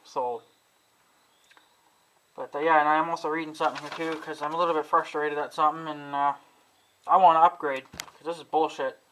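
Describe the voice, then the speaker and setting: A man's voice: a little high, little pitch movement, a little fast, long pauses, fairly bright tone, slight background noise, poor audio. A man vlogging casually at home, rambling and swearing often as he complains about his equipment. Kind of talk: casual talking to camera about gear.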